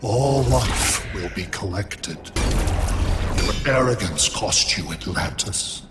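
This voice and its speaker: Cold alien machine tyrant, deep and slow, video game. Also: male voice, animated, over loud music. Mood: cold, domineering. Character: cold, domineering male alien machine overlord.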